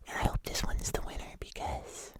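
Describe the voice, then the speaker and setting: An ASMR host speaking entirely in a soft, unvoiced whisper, chatting casually through a food review. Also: normal speed.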